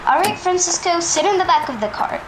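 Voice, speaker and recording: Woman voicing a calm smuggling aunt. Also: extremely high pitch, a little fast. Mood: reassuring. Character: calm adult woman smuggling nephew, Tia Blanca. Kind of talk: amateur audio drama acting. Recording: noisy amateur recording.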